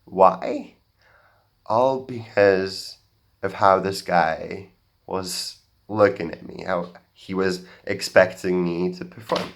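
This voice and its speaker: Young man, American-sounding accent, earnest stage talk, halting restarts, slow pace. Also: low voice, vocal fry. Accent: general American. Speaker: speaker at a talk. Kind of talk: public talk, personal story. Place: auditorium stage.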